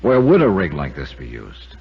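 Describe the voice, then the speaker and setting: An actor playing an inquisitive, wry American insurance investigator in a 1950s radio detective drama, with punchy, clipped delivery. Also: male voice, very deep voice, wildly swooping pitch, moderate pace, gravelly, slightly rough, full character voice. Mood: musing. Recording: muffled vintage radio recording.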